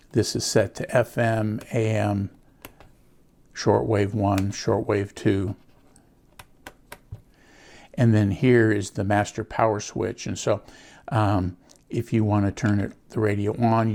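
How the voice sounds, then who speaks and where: An American man giving a casual product walkthrough, explaining things in an unhurried, plain-spoken way. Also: on the low side, a little mellow. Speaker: host of a gadget review channel.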